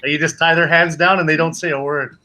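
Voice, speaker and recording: A man's voice: a little high, steady tone, brisk, bright, forceful tone. A man from Canada, a coach chatting casually in an earnest, rambling way and often repeating phrases. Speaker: jiu-jitsu coach. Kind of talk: casual chat.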